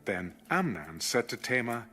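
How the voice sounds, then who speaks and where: A man's voice: mid-range voice, very animated, a little slow, bright, forceful tone, faint music behind. A man giving a dramatic scripted narration of scripture for an audio Bible.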